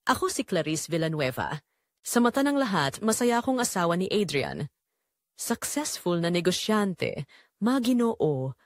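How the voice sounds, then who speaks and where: A woman's voice: mid-range voice, no echo, studio quality. A woman reading a scripted first-person romance story in a dramatic storytelling manner. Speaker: audiobook narrator.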